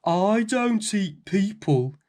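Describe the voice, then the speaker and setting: A man voicing a huge, lonely storybook giant in a loud, slow, drawn-out voice. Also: high-pitched, animated, voice pushed up. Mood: startled, booming.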